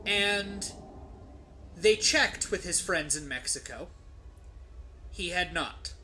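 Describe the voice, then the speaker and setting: A streamer telling a story casually and comedically while gaming, with profanity, dramatic pauses and rhetorical tags. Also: medium pitch, lively intonation, noisy background. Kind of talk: casual comedic storytelling during game play.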